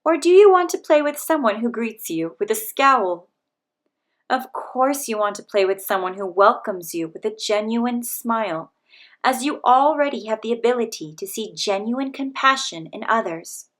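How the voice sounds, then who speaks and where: A woman reading a picture book aloud to children, in a gentle, measured way. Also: a little high, lively intonation.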